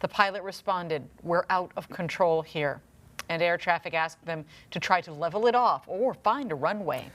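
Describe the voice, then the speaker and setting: A woman's voice: on the low side, normal speed, a bit bright. A woman news anchor reading a scripted newscast in a studio.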